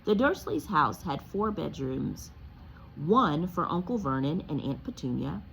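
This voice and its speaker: A woman narrating a children's story aloud in an expressive read-aloud style. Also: deep voice, a little slow, creaky, a little hiss or hum, low-quality recording.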